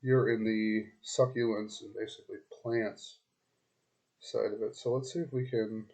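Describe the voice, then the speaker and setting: Hoarse man talking through a screencast. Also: lowish voice, level tone, normal speed, a little mellow, poor audio. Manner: talks to the client directly, loose and chatty, thinks aloud with many ums and restarts. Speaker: web marketing consultant. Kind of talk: casual screen-recorded walkthrough.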